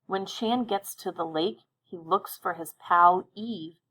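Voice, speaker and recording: A woman teaching young children to read, speaking directly and encouragingly to them with deliberate, instructional phrasing and check-in questions. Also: fairly low pitch, a bit bright, low-quality recording. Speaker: elementary reading teacher.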